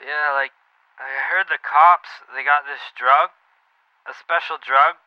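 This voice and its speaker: A man's voice: a little high, steady tone, a little slow, clear, resonant tone, dry recording, natural voice. A man playing a worked-up, rambling conspiracy caller on the phone in a radio play. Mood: worked-up, paranoid. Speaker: caller to a talk radio show. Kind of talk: comic radio play acting. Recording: dull lo-fi phone call.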